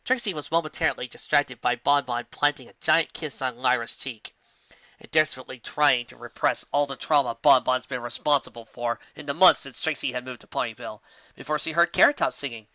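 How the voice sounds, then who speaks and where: A woman's voice: very deep voice, a little fast, sharp tone, no room sound. A woman narrating a piece of fan fiction as an amateur reader. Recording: dull, muffled lo-fi recording.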